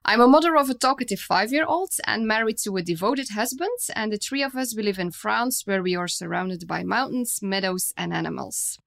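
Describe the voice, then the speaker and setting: A woman in her thirties from Belgium, a Dutch speaker, giving a formal, measured scripted introduction as head of a recruitment office. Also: mid-range voice, gravelly, a bit bright.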